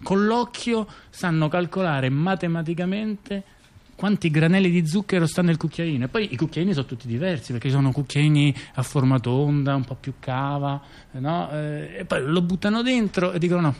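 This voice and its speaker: A rambling intellectual acted by a man in a radio play, with a fairly high voice. He talks in a hesitant, conversational way, circling around small details and checking in with little questions. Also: a little fast, darkish tone, natural voice. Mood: wry musing. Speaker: actor in a radio theatre dialogue. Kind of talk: conversational theatrical monologue.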